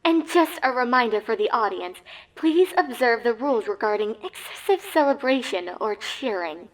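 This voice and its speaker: A woman voicing showy, over-excited cartoon pony sports announcers in grandiose mock commentary. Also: a little high, natural voice, poor audio. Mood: officious. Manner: grandiose, drawn-out introductions.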